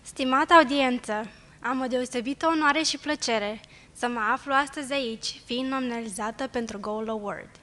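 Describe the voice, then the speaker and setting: A woman from Moldova with a Moldovan accent, a nursing student giving a formal prepared speech in an earnest, deliberate way from a lectern. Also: high voice, fairly bright tone. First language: Romanian. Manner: earnest, deliberate, with emphatic phrases. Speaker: nursing student and award nominee.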